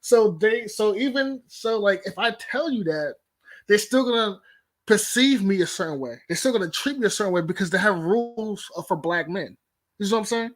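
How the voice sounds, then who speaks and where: A first-generation American man of Nigerian descent joins a casual panel talk. He speaks in an animated way and often says "you know what I'm saying". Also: sits high, moderate pace. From United States. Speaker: panel guest.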